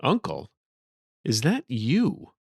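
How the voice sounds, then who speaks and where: A man's voice: mid-range voice, very animated, slow, vocal fry, breathy edge, dry recording, exaggerated voice. Young swordsman nephew , read by a male narrator, suspicious and demanding, fantasy audiobook, dry close sound. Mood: hesitant.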